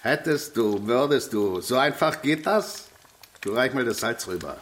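A man playing a gruff, wry, overworked cook in a children's radio play, brusque and teasing. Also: mid-range voice, animated, measured pace, fairly bright tone, a little hiss or hum.

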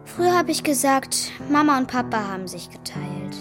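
A woman's voice: sits high, big pitch swings, normal speed, music in the background. A female performer playing an earnest, insistent young girl in a German children's radio play. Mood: stubborn, hurt.

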